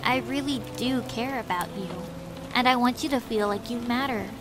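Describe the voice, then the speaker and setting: A woman playing a flirty, soft-spoken cartoon beaver sweetheart. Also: fairly high pitch, moderate pace, lightly acted. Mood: reassuring. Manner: soft, affectionate, seductive. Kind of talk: fan fiction dramatic reading. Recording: music behind the voice.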